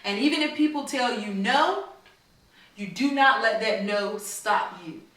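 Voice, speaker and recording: A woman's voice: fairly high pitch, unhurried, fairly bright tone. A woman reading a picture book aloud to children and putting questions to them, with an encouraging, teacherly manner. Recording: very echoey room, far from mic.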